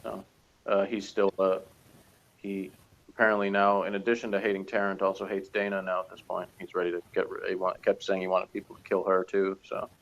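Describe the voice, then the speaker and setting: A man chatting casually as a co-reviewer, halting and often trailing off mid-thought. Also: low-pitched, completely flat delivery, creaky, a bit bright, dull audio, a little hiss or hum. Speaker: co-reviewer of a TV episode. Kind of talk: casual chat.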